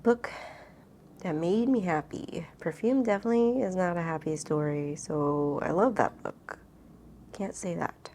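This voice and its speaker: A woman's voice: fairly low pitch, a little slow, mellow, slight background noise. A woman chatting casually as a book vlogger, thinking aloud informally and interrupting herself as she goes.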